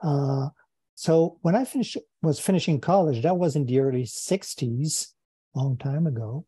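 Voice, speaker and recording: Older French-Canadian man, husky and dark. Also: a little high, no room sound. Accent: Quebec French. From Quebec, Canada. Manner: thoughtful, halting, repeats words while searching. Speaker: guest philosopher. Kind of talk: unscripted interview answer. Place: home study with bookshelves. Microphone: earphone mic on video call.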